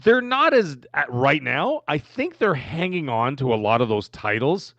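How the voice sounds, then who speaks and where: A Canadian man co-hosting a casual gaming podcast, rambling and animated, with frequent restarts as he tells his story. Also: on the high side, moderate pace, no room sound, highs cut off. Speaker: podcast co-host.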